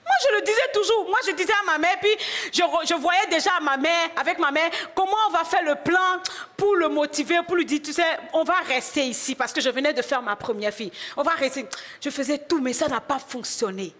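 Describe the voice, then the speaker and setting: A middle-aged woman from Benin preaching a sermon and telling her own story, moving from hushed asides to loud exclamations. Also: sits high, brisk. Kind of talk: sermon with personal testimony.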